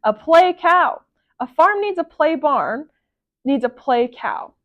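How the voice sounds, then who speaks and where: A woman's voice: high voice, expressive, slow, phone-quality sound. A woman voicing an eager, bossy young farm girl from a storybook, with an emphatic, demanding manner. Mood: eager, insistent. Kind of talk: storybook character voice.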